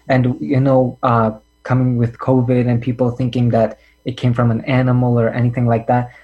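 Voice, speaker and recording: A young male student interviews a guest in a friendly way and often says "uh". His voice is warm and dark, at a middle pitch for a man. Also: poor audio. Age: young adult. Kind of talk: interview questions.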